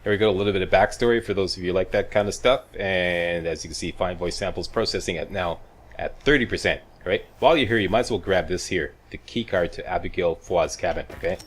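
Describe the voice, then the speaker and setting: A man's voice: low-pitched, fast talker. A man giving casual, folksy video game walkthrough commentary with wisecracking asides.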